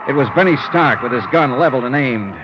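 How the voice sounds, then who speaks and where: An actor playing a hard-boiled investigator who tells his own ordeal in a deliberate, intense 1950s radio drama style. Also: mid-range voice, animated, heavy background noise, natural voice. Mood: grim, dramatic. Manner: deliberate, intense, theatrical storytelling. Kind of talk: 1950s radio drama acting, dramatic first-person narration. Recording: dull vintage radio recording with prominent music.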